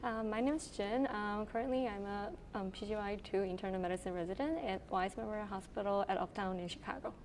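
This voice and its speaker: Brief soft-spoken Korean woman physician, hesitant. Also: medium pitch, steady tone, fast talker, hardly any pauses, a little hiss or hum. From South Korea. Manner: thoughtful, with frequent ums and you-knows. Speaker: internal medicine resident. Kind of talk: interview answers.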